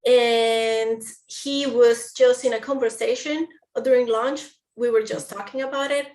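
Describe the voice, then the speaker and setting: A woman from Peru with a Peruvian Spanish accent, a guest in a casual interview, speaking haltingly as she searches for words. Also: fairly high pitch, fairly even intonation, darkish tone. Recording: roomy audio from a distant mic.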